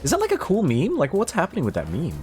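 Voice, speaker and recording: A man casually commenting while playing a game, gasping and jumping high in pitch when surprised. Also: huge pitch swings, fast talker, darkish tone. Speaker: streamer. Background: game music.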